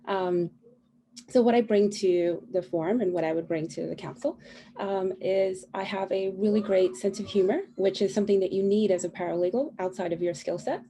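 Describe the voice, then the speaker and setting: A woman's voice: medium pitch, moderate pace, mellow, slightly rough. A woman running for a school council, speaking self-assured and lightly joking on a video call, with her children audible behind her. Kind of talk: candidate forum answer over video call. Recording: video call with faint kid noise. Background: children fighting.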